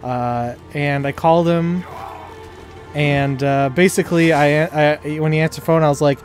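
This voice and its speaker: Guy with a highish voice rambling and joking over a game, soft music. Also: steady tone, normal speed. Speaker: gamer. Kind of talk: casual co-op gaming chat.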